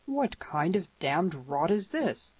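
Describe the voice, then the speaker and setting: Woman doing stern old rancher dad, western audiobook, slow n gruff, dull lofi sound. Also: fairly low pitch, very animated, a little mellow, dry recording, full character voice. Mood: indignant. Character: stern older rancher father, gruff and indignant. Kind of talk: western audiobook character reading.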